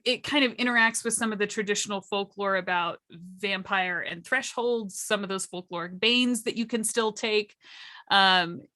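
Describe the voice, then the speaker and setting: A woman speaks as a guest on an informal panel. Her voice is bright and forward, at a middling pitch for a woman. She explains things in an enthusiastic, conversational way without a script. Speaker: workshop panelist. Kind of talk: casual, unscripted panel discussion.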